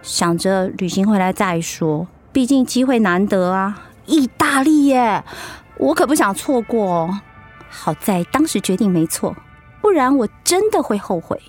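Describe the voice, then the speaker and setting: An actress with a Taiwanese accent playing an upbeat, teasing wife in recovery from illness in a radio drama, playful and reassuring. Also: female voice, mid-range voice, expressive, measured pace, a little mellow, faint music behind. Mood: candid. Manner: playful, reassuring, chiding her husband. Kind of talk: Mandarin radio drama acting.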